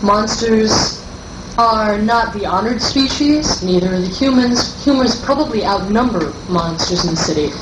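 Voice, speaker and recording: Woman, game designer, measured and unhurried explainer in a round-table discussion; echoey, hissy cassette sound. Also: medium pitch, expressive. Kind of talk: group interview discussion. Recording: noisy roomy 1982 cassette tape.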